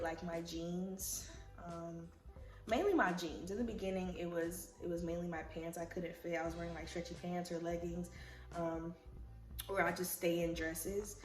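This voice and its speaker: A young woman from Liberia, congested, chatting casually and rambling with many fillers in a vlog. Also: fairly low pitch. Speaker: vlogger. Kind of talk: casual pregnancy recap vlog. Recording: roomy echo, faint music.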